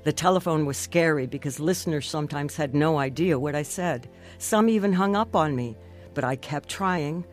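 A woman's voice: low-pitched, moderate pace, lightly acted. A determined woman tells her own story as played by an actress in a radio drama. Her first-person narration is scripted and reflective, with faint music underneath. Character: determined woman recounting her recovery from a stroke.

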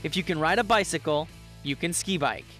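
High-voiced young male TV reporter. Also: fairly quick, fairly bright tone, soft background music. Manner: enthusiastic, chatty. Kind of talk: upbeat travel report narration.

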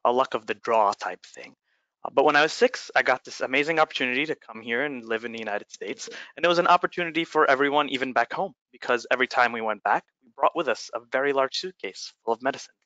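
A man's voice: medium pitch, pushed and bright, no room sound, dull audio. A man from Egypt, a startup founder, giving an earnest webinar presentation with many fillers.

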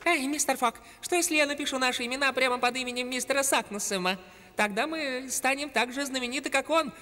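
A woman voicing a small, excitable mouse boy sidekick in a dubbed cartoon, high and eager. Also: fast, long reverb tail.

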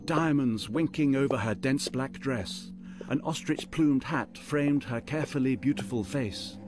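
An actor narrating a fantasy tale as storyteller in a scripted radio drama. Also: male voice, mid-range voice, unhurried, natural voice. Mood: storytelling. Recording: music under the voice. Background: music.

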